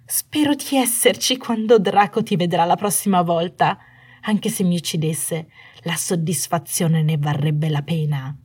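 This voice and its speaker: A woman's voice: lowish voice, measured pace, a little mellow, breathy edge. A woman narrator voicing a bitter young wife in an audiobook story, menacing and manic with jealousy, snarling and trembling with cold fury. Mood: menacing, cruel. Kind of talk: dramatised audiobook dialogue.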